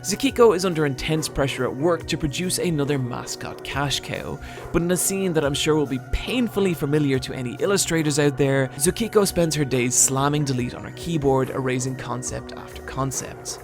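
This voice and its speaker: A man's voice: fairly high pitch, brisk. A man narrating a scripted video essay, with dry, wry asides. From Britain. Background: soft background music.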